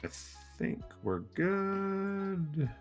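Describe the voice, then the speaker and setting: A man's voice: sits high, big pitch swings, drawn-out words, faint music behind. A man co-casting a gaming livestream in casual, offhand chat.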